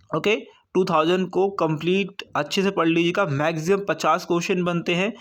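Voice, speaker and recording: A man with a high voice and an Indian accent teaches in an informal coaching style. He repeats key points, poses questions and answers them himself, and keeps dropping in short tags to check that students follow. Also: a bit bright. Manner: repetitive, asks and answers own questions, frequent check-in tags. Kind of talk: informal exam-prep lecture.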